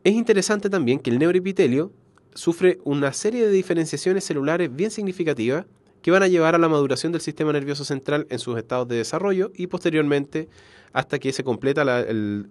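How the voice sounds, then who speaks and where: A man's voice: on the high side, quick pace, a little mellow, no room sound. A man with a congested, nasal voice from a cold, giving an explanatory biology lecture online, often correcting himself and checking in. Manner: explanatory, frequent self-corrections and check-in tags. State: has a cold. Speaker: biology teacher.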